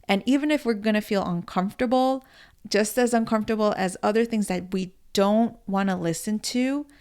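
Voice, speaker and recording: A woman's voice: mid-range voice, normal speed, darkish tone, clean tone. A woman podcast host, earnest, mixing Spanish words into her English as she reads an intro and chats with a guest. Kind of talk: scripted intro then casual interview.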